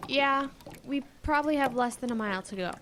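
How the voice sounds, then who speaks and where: A woman's voice: sits high, a little fast, a bit bright, slight background noise. A young female actor playing a worried, exasperated and responsible girl who pilots a boat in an audio drama. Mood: practical, cautious.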